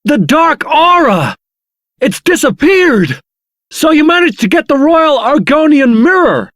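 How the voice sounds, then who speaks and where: Casting: hearty, excitable fighting man for a game, high voice, wide swings. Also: a little slow, dry recording, studio quality, heavily acted. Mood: excited. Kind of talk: video game character acting.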